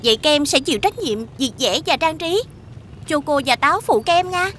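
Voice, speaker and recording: A woman's voice: sits high, expressive, normal speed, a bit bright, a little hiss or hum. A woman voicing a sensible, encouraging older girl who leads younger children in a cartoon. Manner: encouraging, organising.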